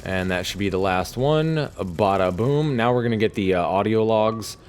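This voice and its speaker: A man's voice: on the low side, expressive, moderate pace, clear, resonant tone. A man giving casual, laid-back and sometimes sweary commentary over his own video game play. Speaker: gamer narrating his own gameplay video.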